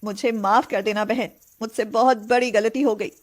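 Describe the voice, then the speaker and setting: Woman acting a high-pitched young beggar girl putting on airs. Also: steady tone, normal speed, lightly acted. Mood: apologetic. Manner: pleading when begging, sugary and provocative when posing.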